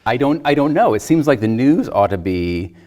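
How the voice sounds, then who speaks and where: A man's voice: medium pitch, very animated, moderate pace, darkish tone. A man in a casual discussion, giving his opinions hesitantly and circling before he lands on a point. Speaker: film discussion panelist.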